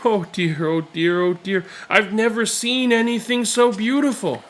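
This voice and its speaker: A man's voice: high-pitched, a little slow, fairly bright tone, voice pushed up. A man voicing a tender, easily moved elderly grandfather in a children's storybook, heartfelt and exclamatory. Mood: moved, gentle.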